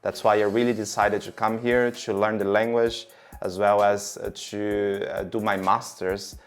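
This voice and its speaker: A man's voice: on the low side, little pitch movement, a little slow. A man in his thirties from Brazil, giving long, earnest interview answers with many fillers. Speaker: guest.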